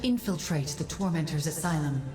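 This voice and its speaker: Casting: solemn fantasy game guide, low female voice, measured and grave, echoing over music. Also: lively intonation, warm tone. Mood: calm instruction. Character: solemn female mystical guide advising a warrior. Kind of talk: video game cutscene acting.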